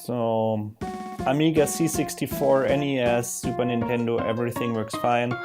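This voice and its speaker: A man narrating a casual software screen demo in a halting way, thinking aloud as he goes. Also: mid-range voice, flat delivery. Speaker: software demonstrator. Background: music from audio players being demonstrated.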